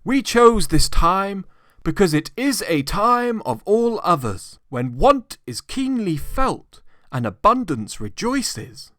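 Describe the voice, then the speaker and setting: A man's voice: high voice, sing-song, takes it slowly, sharp tone, dry recording, studio quality, pitched above the performer's own voice, heavily acted. A man voicing a portly, polite charity collector, speaking formally and earnestly in a storybook reading. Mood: earnest appeal.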